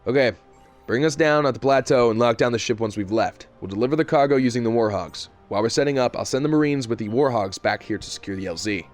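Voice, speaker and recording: Fast talking navy captain guy man acting, clipped orders, audio drama, bit of music behind. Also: mid-range voice, lightly acted. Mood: decisive. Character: commanding male military captain, brisk and decisive.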